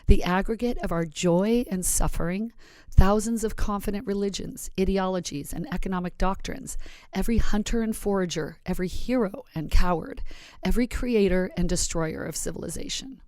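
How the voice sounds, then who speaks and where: A woman from Arizona co-hosting a podcast, chatting in a relaxed and reflective way. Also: mid-range voice, moderate pace, no room sound. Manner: relaxed and reflective, reads a quote aloud. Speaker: podcast co-host. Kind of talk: casual podcast chat.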